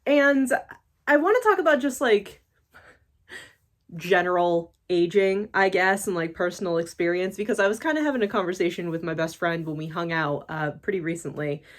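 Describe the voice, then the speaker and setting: A woman's voice: fairly low pitch, lively intonation, normal speed. A woman about to turn thirty, from the United States, chatting in a rambling casual vlog with lots of fillers and self-deprecating laughs. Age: late 20s. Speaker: YouTuber.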